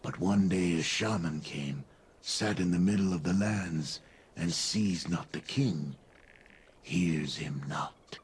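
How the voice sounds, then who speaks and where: An actor playing a grave, primal lizard-man of the marsh in a video game, speaking solemnly in halting broken English with breathy pauses. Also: low-pitched. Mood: solemn storytelling. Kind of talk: video game character dialogue. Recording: game dialogue over music. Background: music.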